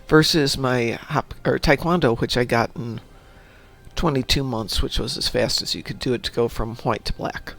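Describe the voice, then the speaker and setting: Brief: gravelly man with a slightly high pitch, chatty stream host, faint music behind. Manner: chatty, rambling anecdotes. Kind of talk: casual chat.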